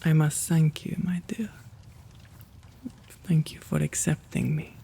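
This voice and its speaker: Slow, creaky, genteel gentleman vampire, acted by a man. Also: a little high, little pitch movement, full character voice. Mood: tender, courteous. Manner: genteel, old-fashioned polite phrasing, flustered stammers. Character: kind, courtly old-world gentleman vampire, bashful and gentle. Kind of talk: ASMR roleplay, intimate one-sided dialogue.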